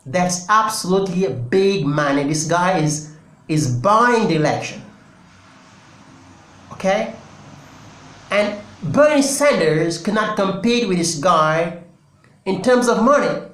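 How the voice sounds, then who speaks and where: A man with a Senegalese accent, Wolof his first language, speaks in a slightly raised register. He lays out his views earnestly and deliberately, pausing to restart his thoughts. The room around him is echoey. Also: sits high, expressive, a bit bright. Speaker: political commentary channel host. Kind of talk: solo political commentary.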